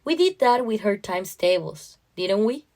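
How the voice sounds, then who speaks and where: A woman reading a first-person literary short story aloud, with expressive, emotionally intense delivery. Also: mid-range voice, measured pace.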